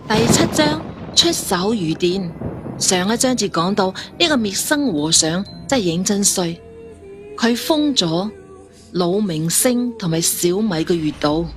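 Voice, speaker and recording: A woman, narrating a story dramatically as a storyteller. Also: mid-range voice.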